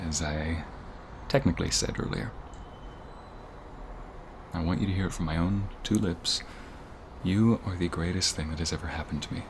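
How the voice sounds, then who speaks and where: A man's voice: low-pitched, noisy background. A man playing a devoted, sentimental boyfriend in a romantic ASMR roleplay, speaking intimately and earnestly. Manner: intimate, earnest, often hushed and breathy.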